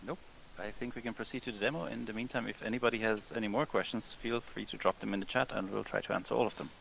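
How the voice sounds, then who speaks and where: Male livestream co-host, casual, brisk delivery with vocal fry, slight background hiss. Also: fairly low pitch, steady tone, a bit bright, low-quality recording.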